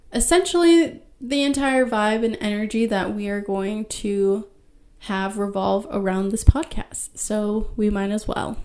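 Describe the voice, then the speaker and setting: A woman in her thirties speaks slowly and softly, taking her time between thoughts. Her voice sits at a medium pitch. She hosts her own podcast and talks alone to her listeners. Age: 30s. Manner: slow, soft-spoken and reflective. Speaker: podcast host. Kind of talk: solo podcast talk.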